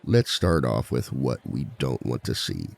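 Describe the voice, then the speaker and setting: Man playing a very deep, gravelly villain lecturer. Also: sing-song, a little slow, exaggerated voice. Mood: wry, cautioning. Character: suave male villain giving a dating seminar to fellow villains. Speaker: seminar speaker and relationship expert. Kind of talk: scripted ASMR roleplay monologue, seminar lecture.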